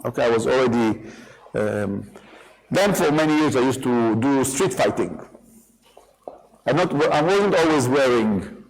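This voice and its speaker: A man leading an interactive workshop for a live group, animated and joking, often repeating and rephrasing himself as he speaks. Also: medium pitch, measured pace, long pauses, rough recording. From Israel. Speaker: workshop speaker and hostage negotiator. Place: live workshop in front of an audience.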